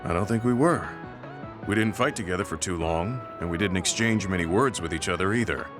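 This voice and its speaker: A kind, weary veteran soldier performed by a male actor for a video game. His voice sits on the low side and he speaks in measured, reflective phrases that carry long guilt. Prominent music plays behind him. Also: close to an everyday voice. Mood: gentle, reflective. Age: middle-aged. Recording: studio voice under loud game music.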